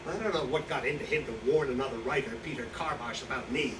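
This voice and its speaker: A man in a staged reading playing a boastful, defensive, hard-drinking old writer, rambling and halting as he justifies himself. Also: medium pitch, natural voice. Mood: nostalgic, animated. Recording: distant echoey room, noisy draft recording.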